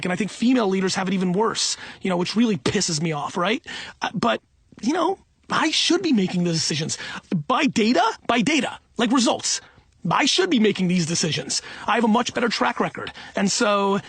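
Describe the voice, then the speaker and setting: A man's voice: sits high, creaky, a bit bright, husky, no room sound. A middle-aged man talking off the cuff for an audiobook in an emphatic, self-assured, blunt and conversational way. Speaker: entrepreneur and company CEO reading own audiobook.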